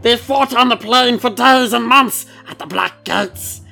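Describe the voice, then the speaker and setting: A woman voicing a furtive, wheedling male creature in a gravelly, hissing whisper, drawing out her words in slow sing-song repetitions. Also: fairly high pitch, bright, forceful tone, soft background music, heavily acted. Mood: brooding, creepy.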